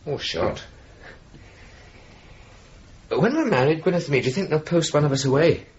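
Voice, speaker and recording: An actor playing a mild, earnest young RAF officer, chatty and a little fretful, in a radio drama. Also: male voice, a little high, big pitch swings, moderate pace, breathy edge, full character voice. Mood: gently teasing, earnest. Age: young adult. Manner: chatty, questioning, mildly complaining. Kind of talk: radio drama acting, conversational dialogue. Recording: muffled, noisy old radio broadcast recording.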